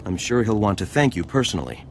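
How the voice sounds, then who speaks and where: A man's voice: lowish voice, big pitch swings, quick pace, a little hiss or hum. A man playing a fawning, eager senator's aide in a video game, speaking in a formal, gushing way. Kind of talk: video game dialogue acting.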